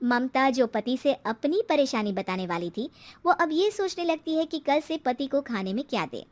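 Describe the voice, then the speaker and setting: Woman, very high voice, scripted storytelling, narrator. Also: lively intonation, normal speed.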